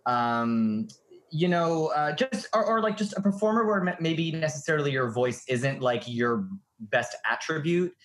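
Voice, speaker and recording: A man's voice: a little high, animated, a little slow, a bit bright. A man co-hosting a podcast, chatty and casual and laughing often. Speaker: podcast co-host. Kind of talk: casual chat.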